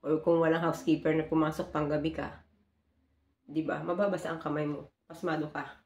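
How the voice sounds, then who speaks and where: Low-voiced woman vlogging in an echoey room. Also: level tone, fairly quick, darkish tone, muffled recording. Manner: earnest, explanatory, mixing in English phrases. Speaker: hotel housekeeper vlogger. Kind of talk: casual vlog talk to camera. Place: small bedroom indoors. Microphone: phone camera at close range.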